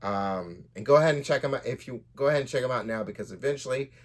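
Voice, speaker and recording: A man's voice: mid-range voice, fast. A man hosting a storytelling podcast as a writer, moving between casual chat and reading from their own fiction.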